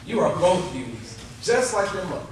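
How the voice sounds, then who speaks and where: A folksy, doting family father played by a man in an old-time radio play. His voice is fairly high and animated, heard from a distance in a very echoey hall with music. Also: normal speed, background noise. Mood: reassuring. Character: cheerful doting folksy 1950s salesman father. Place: theater stage before live audience. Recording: echoey live stage, distant mic, loud music.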